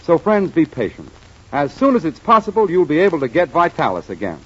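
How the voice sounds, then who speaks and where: A hearty, polished 1940s radio announcer, with a fairly high, animated voice. He sounds like a friendly pal making a sponsor pitch on a muffled vintage broadcast. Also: brisk, background noise. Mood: polished, promotional.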